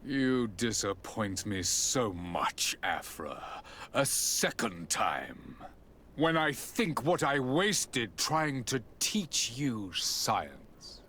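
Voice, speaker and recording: A man's voice: medium pitch, very animated, drawn-out words, bright, forceful tone, a little hiss or hum, exaggerated voice. An actor playing a cold, arrogant male scientist in a game, condescending and theatrical. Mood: bitterly disappointed. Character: cold, arrogant male doctor defending his cruel experiments.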